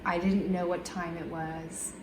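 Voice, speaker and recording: Lower-voiced woman in an echoey room. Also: little pitch movement, noisy background. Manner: thoughtful, many ums and pauses. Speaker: interviewee. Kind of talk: casual interview answers.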